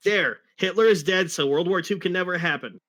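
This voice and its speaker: A man's voice: high voice, a little fast, a bit bright, voice pushed up. A man voicing a cool, self-assured young cartoon hedgehog hero in a dramatic reading. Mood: cocky.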